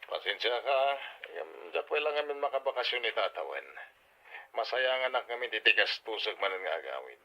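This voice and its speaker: A muffled phone-call sound carries an actor playing a devoted husband in a radio drama. His voice is fairly deep, and he speaks with affection and apology to the one he misses. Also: big pitch swings, fairly quick. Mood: affectionate, apologetic. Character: loving, apologetic husband working abroad, on a call. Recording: dull, band-limited phone-call sound.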